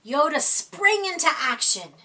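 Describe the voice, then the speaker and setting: A woman reading a children's picture book aloud in an expressive, dramatic storytime style, drawing out words for young listeners. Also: sits high, measured pace, distant mic, slight background noise.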